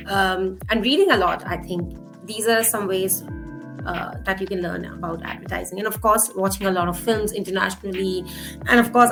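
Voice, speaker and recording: A woman's voice: mid-range voice, vocal fry, fairly bright tone. A woman in her forties, originally from India, answering interview questions on marketing thoughtfully, with frequent fillers. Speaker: marketing guest.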